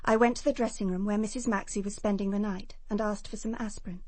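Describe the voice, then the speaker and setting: An English actress playing a prim, self-assured nurse giving precise testimony in a British radio crime drama. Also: female voice, medium pitch, steady tone, quick pace, darkish tone, no room sound, lightly acted. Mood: composed, defensive.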